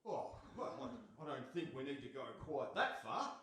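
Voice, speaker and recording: A man's voice: medium pitch, very animated, moderate pace, fairly bright tone, slight background noise. A man playing a meek, henpecked fisherman in a live comic radio play, hesitant, flustered and grumbling. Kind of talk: live radio theatre comedy acting. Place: on stage in a hall before an audience. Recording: echoey live hall.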